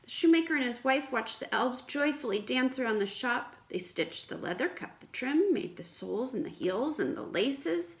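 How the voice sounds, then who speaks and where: A woman teacher reading aloud to children in an expressive, explanatory way, with questions for the listeners. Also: high voice, normal speed, hardly any pauses. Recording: echoey room.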